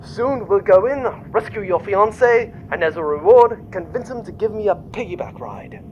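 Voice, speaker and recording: Man as a cocky, animated starship captain. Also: high voice, muffled recording, a little hiss or hum. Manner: brisk, commanding, flippant. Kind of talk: comic sci-fi audio drama acting.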